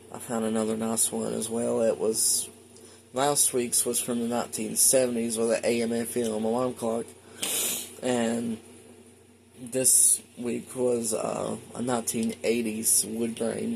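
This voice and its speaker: A man's voice: medium pitch, creaky, darkish tone, slight background noise, rough recording. A man giving a casual, rambling, matter-of-fact show-and-tell as a hobbyist collector at home. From United States. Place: indoors at home.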